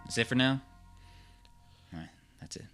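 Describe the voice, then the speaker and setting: A man's voice: medium pitch, animated, normal speed, sharp tone, faint music behind. A man co-hosting a podcast in casual chat, rambling and repeating his phrases as he goes. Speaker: podcast co-host. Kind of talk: casual chat reviewing horror radio.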